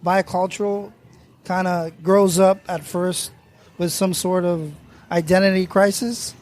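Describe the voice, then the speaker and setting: A man's voice: high-pitched, flat delivery, unhurried, a little mellow. A man from Mexico, a guest on a round-table talk, explaining things thoughtfully in a casual way and laughing easily. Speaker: guest panelist.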